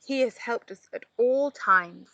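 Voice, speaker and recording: Woman as a serious, bright-voiced male animal. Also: fairly high pitch, unhurried, no room sound, distant mic, muffled recording, heavily acted. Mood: kind. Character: kindly but easily irritated male animal, problem-foreseer.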